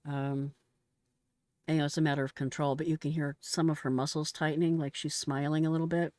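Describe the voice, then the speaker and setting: A woman's voice: sits low, flat delivery, fairly quick, darkish tone, no room sound, clean studio sound. A middle-aged American woman giving casual, blunt and exclamatory reaction commentary in slangy speech. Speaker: retired emergency dispatcher reacting to a call recording.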